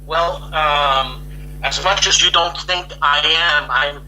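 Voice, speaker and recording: Man on a bright call line. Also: on the high side, slow, slight background noise, low-quality recording. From Quebec, Canada. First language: Italian. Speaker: guest, composer and wargaming channel host. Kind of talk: casual interview over a call. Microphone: call audio.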